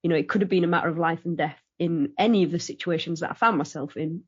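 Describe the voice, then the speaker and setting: A woman's voice: lowish voice, fast, breathy edge, no echo, highs cut off. A woman, a guest on a podcast, talking casually and telling stories in a friendly way. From United Kingdom. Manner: friendly, laughs easily, storytelling. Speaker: guest, founder and CEO. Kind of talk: casual interview chat.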